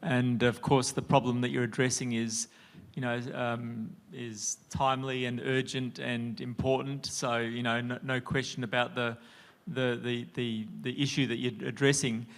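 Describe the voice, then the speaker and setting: Male judge, feedback on a pitch, measured but halting, mid-pitched. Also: level tone. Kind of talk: panel feedback.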